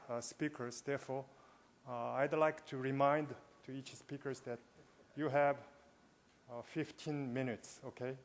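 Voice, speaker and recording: A man chairs a conference session from a podium microphone. He pauses often, restarts his sentences and fills gaps with "uh". His voice sits at a middle pitch for a man. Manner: hesitant, many fillers and restarts. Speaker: session moderator, university president. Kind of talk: conference moderating. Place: large conference hall.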